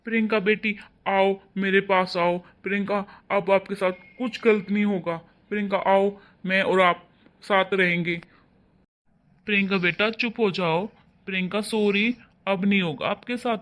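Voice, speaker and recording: A man's voice: high-pitched, steady tone, a little slow. A man playing a stern father who scolds his wife and comforts his daughter. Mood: tender. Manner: scolding loudly, then softening to coax. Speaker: actor in a family drama. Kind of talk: amateur family drama acting.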